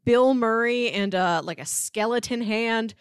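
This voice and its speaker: A woman's voice: on the high side, unhurried, smooth, no echo, studio quality. A woman co-hosting a podcast, chatting casually and conversationally about films. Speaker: podcast co-host.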